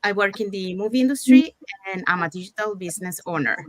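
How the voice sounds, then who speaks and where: A woman from Panama hosting an online interview show in an informal, somewhat halting way. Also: mid-range voice. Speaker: talk show host.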